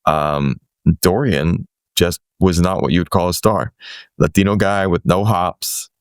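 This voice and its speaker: A man's voice: low voice, measured pace, smooth, no room sound, studio quality. A middle-aged man from the Boston area, hosting an interview in a loose, rambling way with many restarts and "you know" fillers. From Boston area, USA. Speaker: podcast host. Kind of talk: casual conversational interview.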